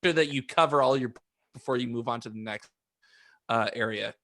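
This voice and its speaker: A man with a bright, forceful, mid-pitched voice banters casually on a podcast. He is jokey and halting, often tripping over his words, in a dry recording with no room sound. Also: no echo. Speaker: podcast co-host. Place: remote video call.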